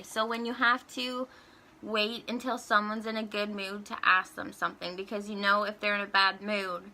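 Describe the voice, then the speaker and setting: A woman's voice: medium pitch, bright, forceful tone, a little hiss or hum, rough recording. A young woman ranting casually in a vlog, exasperated and swearing, with lots of "like" fillers. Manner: exasperated, swears, heavy use of "like". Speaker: vlogger.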